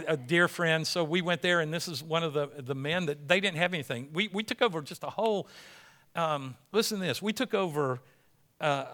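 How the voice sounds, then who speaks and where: A male pastor delivers an informal sermon in a fairly high, strongly bright voice. He talks conversationally yet with emphatic urging, often restating a phrase as he goes. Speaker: pastor preaching to a congregation. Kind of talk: informal sermon with missions slideshow.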